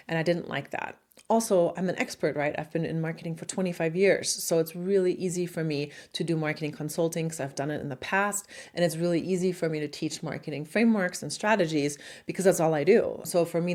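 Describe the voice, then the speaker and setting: A middle-aged woman from Germany with a German accent, giving direct, sometimes silly business advice to camera as a marketing coach on her channel. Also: low-pitched.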